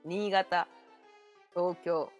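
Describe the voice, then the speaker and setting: A woman playing the mysterious narrator of an eerie radio drama, speaking in a measured, solemn storyteller's way. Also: lowish voice, fairly even intonation, faint music behind. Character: mysterious female narrator of eerie anthology tales.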